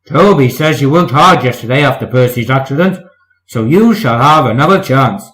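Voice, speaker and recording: A man's voice: medium pitch, lively intonation, moderate pace, a bit bright, natural voice, low-quality recording. A man voicing a stern, pompous but fair railway boss in a children's storybook, speaking severely and emphatically. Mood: approving.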